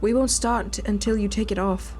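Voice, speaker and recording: Gamer woman narrating a horror game, sweary side comments, average pitch, soft music underneath. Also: fairly even intonation, brisk. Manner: sweary, unscripted asides. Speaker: gaming video host. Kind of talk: reading a horror game aloud with reactions. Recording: faint music behind the voice.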